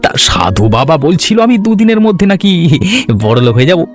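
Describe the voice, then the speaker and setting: Cartoon village husband, played by a man: gullible, flustered, very animated delivery that swoops about, music underneath. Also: a little high, a little fast. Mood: boastful, excitable. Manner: stammering, flustered, repeating filler words. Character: excitable, gullible village husband dreaming of riches. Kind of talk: Bengali cartoon story voice acting.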